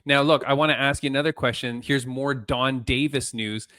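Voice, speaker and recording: A male podcast host, asking questions in an excited, casual way, full of "dude" and slang. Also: mid-range voice, normal speed, dry recording, studio quality. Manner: excited, says "dude" and "frickin'".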